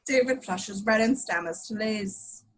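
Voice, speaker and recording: A woman's voice: medium pitch, measured pace, fairly bright tone. A woman reading scripted action description as narrator in an amateur table read of a screenplay.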